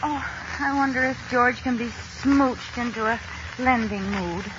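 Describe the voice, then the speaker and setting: An actress playing a scheming, wheedling American housewife in a theatrical 1950s radio comedy style. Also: female voice, a little high, unhurried, lightly acted. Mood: wheedling, sweet. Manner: exaggerated, theatrical. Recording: muffled, noisy old radio recording.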